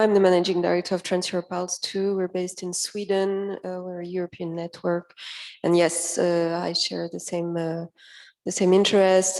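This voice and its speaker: Female managing director, even monotone, short intro. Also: lowish voice. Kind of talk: roundtable self-introduction.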